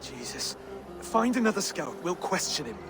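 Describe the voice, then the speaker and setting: An actor playing an earnest, dignified Saxon lord in a video game, with a formal, deliberate way of speaking. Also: male voice, high voice, big pitch swings, music in the background. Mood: serious. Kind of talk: dramatic video game acting.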